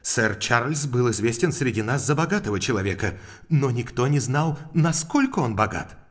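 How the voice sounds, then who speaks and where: A man's voice: medium pitch, expressive, moderate pace, close to an everyday voice. Man as mild country doctor: formal, precise, slightly hesitant, very varied intonation, period radio play. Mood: earnest, explanatory. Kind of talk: audio drama acting.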